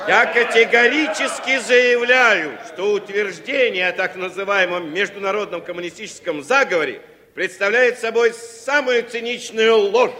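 An actor playing a proud, defiant defendant, speaking firmly and emphatically like an orator in an old radio drama. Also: male voice, high-pitched, moderate pace, a crowd in the background, close to an everyday voice. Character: defiant, proud communist defendant, principled man on trial. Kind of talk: Soviet radio drama acting. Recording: 1960s radio recording, reverberant hall.

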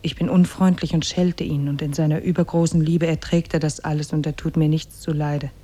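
An actress in a 1950s German radio drama playing a devoted, cunning captive wife with soft, earnest delivery. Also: female voice, low-pitched, flat delivery, a little fast. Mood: confiding, resolute. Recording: noisy 1950s radio recording.

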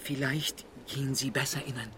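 Man acting an evasive passenger, medium pitch, insistent, repetitive, soothing, radio drama, faint background noise. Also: steady tone, moderate pace, sharp tone, lightly acted. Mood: insistently reassuring. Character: evasive, soothing passenger explaining away horrors. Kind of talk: German radio play acting.

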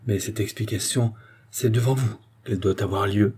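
Audiobook role: honourable count, read by a male narrator, formal and emphatic, proud indignation, husky mellow tone. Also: lowish voice, fairly even intonation, moderate pace. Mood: indignant. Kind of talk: dramatic audiobook character acting.

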